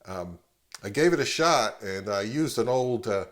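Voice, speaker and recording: A middle-aged man giving a casual, matter-of-fact how-to demonstration in a relaxed, conversational way, as a hobbyist vlogger. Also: fairly low pitch, unhurried.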